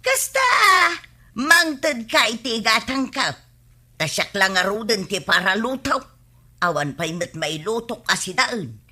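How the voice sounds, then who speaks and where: A woman's voice: mid-range voice, lively intonation, a little slow, bright, forceful tone, slightly rough, exaggerated voice. A woman playing a nagging, scolding housewife with an Ilocano accent in a radio comedy-drama.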